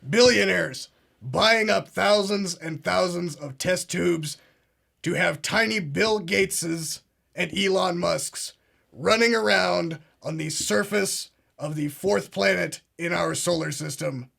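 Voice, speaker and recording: A man's voice: high-pitched, slow pace, creaky, full character voice. A man playing a blustering male conspiracy talk-show host, pompous and fond of repeating himself. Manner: pompous, keeps saying "absolutely" and "ladies and gentlemen". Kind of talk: comedic parody show.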